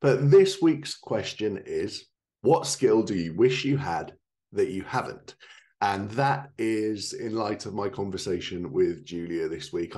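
A man's voice: lowish voice, a little mellow. A man in his thirties presenting a podcast in a chatty way from a living room at home. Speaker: podcast host.

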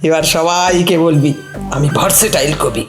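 A woman's voice: sits low, unhurried, echoey room, faint music behind. A woman acting a sly, chatty friend in a comic audio play, with a teasing, recitation-like delivery. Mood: mock poetic. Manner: animated, teasing, breaks into mock recitation. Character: sly, chatty woman coaching her friend to fake being a poet.

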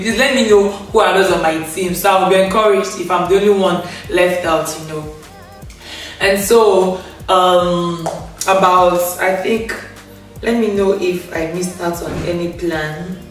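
A young woman talking casually to camera in a living room, chatty and expressive, laughing often, recovering from losing her voice. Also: on the low side, normal speed. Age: young adult. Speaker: vlogger. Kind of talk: casual storytime rant to camera. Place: indoor living room, on a grey sofa. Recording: echoey room, a bit far from the mic, faint music.

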